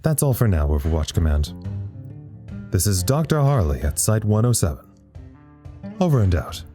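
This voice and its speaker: A man playing a wry, tired research scientist reporting live in an audio drama, halting and understated. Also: fairly low pitch, sing-song, dark tone, soft background music. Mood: reflective, weary. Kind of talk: audio drama acting as a live radio log.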